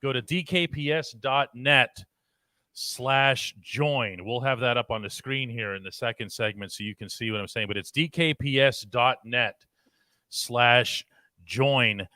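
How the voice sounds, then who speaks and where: A man's voice: medium pitch, sharp tone, no room sound, clean studio sound. A man hosting a sports talk show, chatting with his co-host and reading out viewer comments. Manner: brisk, plugs and reads comments.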